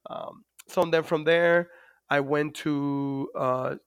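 Dominican guy in his 40s, smooth voice, lots of uh and you know, dry close sound. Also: a little high, fairly even intonation, a bit bright, no room sound. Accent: Dominican Spanish. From Dominican Republic. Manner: halting, many fillers. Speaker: guest, Navy master chief. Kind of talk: interview storytelling.

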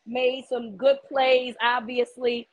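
Woman co-host, hoarse and raspy, lively casual sports chat, dry close mic. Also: a little high, takes it slowly, no room sound. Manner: animated, repeats words while thinking aloud.

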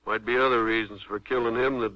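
An actor playing a folksy, plain-spoken county sheriff in a 1950s radio western, with drawn-out country phrasing. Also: male voice, mid-range voice, fairly even intonation, no room sound, lightly acted. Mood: unhurried, grave. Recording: dull vintage 1950s radio recording.

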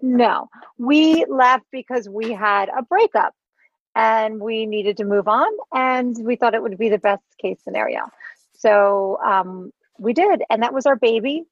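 A woman co-hosting a podcast, chatting casually with quick, bubbly reactions. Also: a little high, no echo, lo-fi sound. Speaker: podcast co-host.

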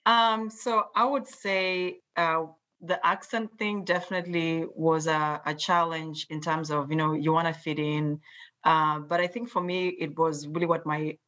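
A woman from Kenya with a Kenyan accent, speaking slowly and carefully as a guest on a panel discussion. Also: low voice, little pitch movement, a bit bright. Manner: measured, deliberate enunciation.

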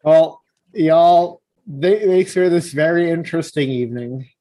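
A man's voice: on the high side, a little slow, dark tone. A man hosting a casual podcast chat. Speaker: podcast host.

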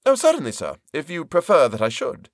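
A man voicing a fawning elderly male law clerk who speaks with obsequious deference and jumps into a high, excitable lilt. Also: brisk, no echo, studio quality. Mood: eager.

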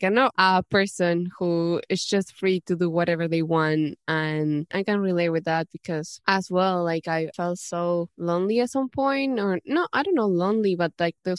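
Lower-voiced, smooth host from Uruguay, dry close sound, easygoing interviewer. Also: female voice, no room sound. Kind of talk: casual video-call interview.

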